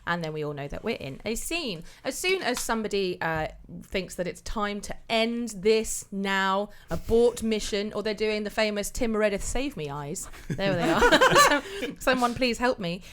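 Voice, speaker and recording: A woman's voice: mid-range voice, animated, normal speed, hardly any pauses, fairly bright tone. A woman from Wales with a Welsh accent, co-hosting an improv podcast and explaining its rules in a chatty, laughing way. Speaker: co-host. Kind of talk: casual improv podcast chat. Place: studio room streamed on several cameras.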